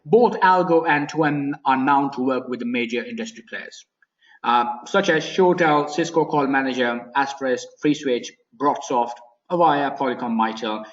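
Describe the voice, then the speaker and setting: A man giving an informal technical product presentation as a webinar presenter, explaining in a halting, repetitive way with frequent restarts. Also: mid-range voice. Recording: dull, lo-fi webinar audio.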